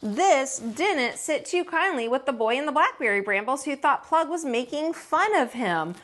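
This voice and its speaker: A woman reading a children's story aloud as a teacher, with folksy, expressive delivery and dramatic emphasis. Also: high-pitched, normal speed. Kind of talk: animated read-aloud storytelling.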